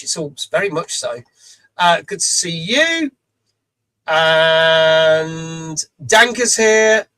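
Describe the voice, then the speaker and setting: A middle-aged British man hosting a live stream from his home studio, his voice pitched noticeably high for a man and a touch rough. He chats loosely, greeting viewers one after another, with plenty of "uh"s between the names. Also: fairly bright tone, low-quality recording. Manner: chatty, greets viewers by name, many fillers. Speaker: streamer host. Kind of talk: casual live-stream chat. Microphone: studio mic.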